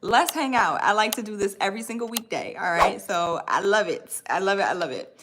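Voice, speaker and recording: A woman's voice: medium pitch, fairly quick, noisy background. A woman in her thirties hosting a casual livestream, reading viewer comments and talking about online courses in a friendly, upbeat way. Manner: friendly, upbeat, says y'all and right often. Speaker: livestream host and course coach.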